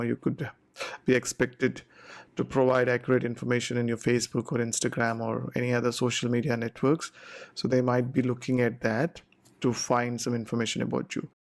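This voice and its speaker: A man giving an informal explainer talk to viewers, deliberate and step-by-step in short phrases. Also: mid-range voice. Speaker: presenter explaining visa procedures.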